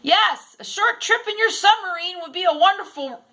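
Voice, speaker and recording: A woman voicing a kind, spirited female schoolteacher who calls out in an animated voice in a storybook. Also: very high voice, normal speed, pitched above the performer's own voice, full character voice. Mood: calling out.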